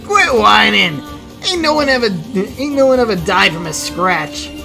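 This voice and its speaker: A woman voicing a small, fierce old grandmother who scolds in a rough country drawl, over faint music. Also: mid-range voice, very animated, normal speed, pitched above the performer's own voice. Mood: furious.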